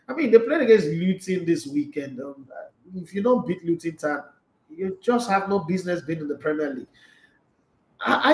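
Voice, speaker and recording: Male sports show host in his forties, animated and rambling, slightly high voice, echoey small studio. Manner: animated, rambling, gestures a lot. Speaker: sports show host answering viewer comments. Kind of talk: casual football commentary chat. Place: small indoor studio room.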